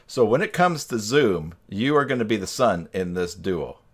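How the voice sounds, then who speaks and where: A man's voice: on the low side, expressive, moderate pace, breathy edge. A man hosting a tutorial live stream in a casual, folksy, joking and meandering way, speaking into a headset microphone.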